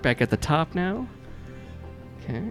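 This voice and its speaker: A man's voice: a little high, very animated, unhurried. A man acting as game master, narrating a tabletop game in an informal, improvised way with frequent chuckles. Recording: music playing behind the voice. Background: music.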